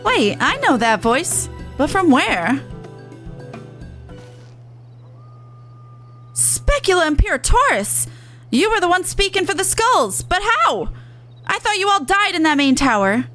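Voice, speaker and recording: A young catfolk resistance fighter, acted by a woman, frank and tender-hearted underneath. Her voice is high and her speech colloquial, over soft game music. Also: big pitch swings, moderate pace, full character voice. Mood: suspicious, startled. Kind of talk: video game dialogue read aloud.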